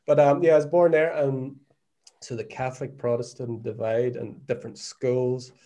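Northern Irish male guest, 40s, relaxed dark timbre, sincere and searching, restarts phrases mid-thought. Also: medium pitch, normal speed. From Northern Ireland. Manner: earnest, searching, repeats words while thinking. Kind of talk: reflective conversational podcast talk.

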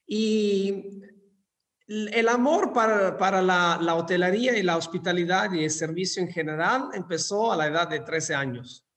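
A man's voice: high voice, moderate pace, a bit bright. A fifty-year-old man from southern Italy with an Italian accent, telling his story as an interview guest in Spanish as a second language, courteous and often repeating words. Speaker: interview guest, hotel managing director. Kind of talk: conversational personal storytelling. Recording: long hall-like reverb.

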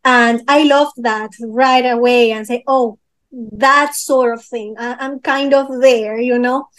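A young woman from Peru, interviewed as a musician, with a notably high voice. She talks casually and feels her way through answers, pausing with fillers and restarting sentences as she hunts for the right word. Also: steady tone. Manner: searching for words, many fillers and restarts. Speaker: guest musician.

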